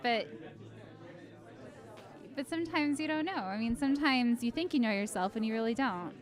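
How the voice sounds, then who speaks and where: A woman's voice: on the high side, moderate pace, some chatter behind. A woman giving casual, reflective interview answers, rambling a little as she thinks aloud.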